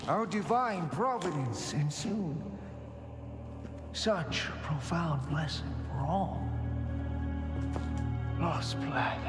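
A man's voice: medium pitch, heavily acted. An actor playing a grandiose older cult preacher in a video game, solemn, pious and menacing in his sermonizing. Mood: solemn, exalted. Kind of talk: dramatic video game villain acting. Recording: loud music behind the voice.

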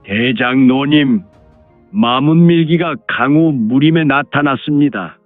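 A man's voice: mid-range voice, takes it slowly, no echo, natural voice. A man playing a hot-blooded clan lord who fawns on his superiors, speaking formally and deferentially in a martial-arts audio drama. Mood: secretive, earnest. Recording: phone-like narrow sound, music behind.

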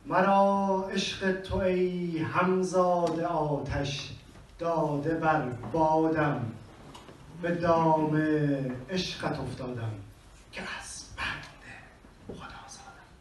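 A man's voice: a little high, a little hiss or hum. Man reciting poetry on stage, echoey and distant. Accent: Persian. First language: Persian. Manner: slow, measured recitation with dramatic pauses. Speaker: poet. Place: small venue with a live audience. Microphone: handheld mic on a stand, recorded from far in the room. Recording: echoey room from far off.

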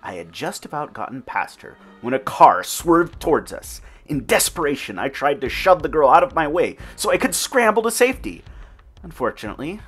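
A man's voice: a little high, big pitch swings, moderate pace, fairly bright tone, breathy edge, soft background music. A man giving a wry, theatrical first-person reading of his own fan fiction. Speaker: narrator.

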